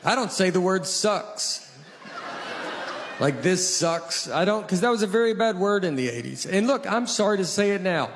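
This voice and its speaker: A man in his forties doing deadpan stand-up comedy, telling slow stories with acted-out dialogue on stage. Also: fairly high pitch, noisy background. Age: 40s. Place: stage before a live audience. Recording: loud audience laughter behind.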